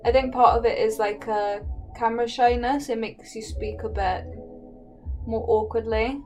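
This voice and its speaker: A woman's voice: a little high, fairly even intonation, moderate pace, a little mellow, soft background music. An English woman with a slight Welsh lilt, chatting casually and hesitantly as she paints on a livestream, sounding shy and self-conscious. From England. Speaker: live streamer painting on camera. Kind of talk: casual chat while painting.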